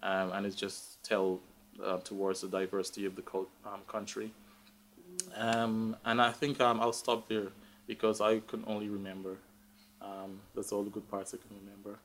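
A man's voice: on the low side, monotone, normal speed, a little hiss or hum. A man with a Guyanese accent talking casually as an interview guest, thinking aloud in a reflective, hesitant way. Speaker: interview guest, tech professional. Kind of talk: casual live conversation.

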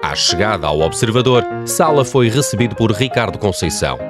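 A man's voice: on the low side, lively intonation, fairly quick, music in the background, lightly acted. A man playing a mock-solemn, tongue-in-cheek narrator of a comic radio mystery, with a European Portuguese accent.

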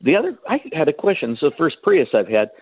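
A man's voice: a little high, sing-song, quick pace, husky, no echo. A man calling in to a radio show, asking questions politely and a bit hesitantly. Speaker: caller. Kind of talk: casual phone call. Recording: tinny phone line.

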